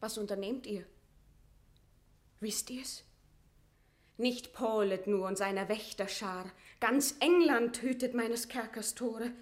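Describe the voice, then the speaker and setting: Imprisoned queen, proud, anguished, highish voice, theatrical verse, elevated diction, classical radio drama. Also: slow, long pauses. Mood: grave, guarded. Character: captive queen, proud, anguished, long-suffering woman.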